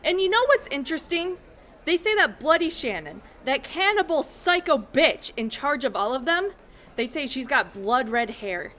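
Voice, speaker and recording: A woman's voice: high voice, big pitch swings, normal speed, a bit bright, a little hiss or hum. A woman playing a hostile, suspicious town lawkeeper in a post-apocalyptic audio drama, with a sneering, authoritative manner. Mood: accusing.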